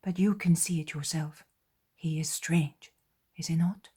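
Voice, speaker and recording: A man's voice: on the high side, steady tone, a little slow, warm tone, slightly rough. A woman voicing Andrew, an earnest adult male disciple who asks questions in a raised, inquiring voice.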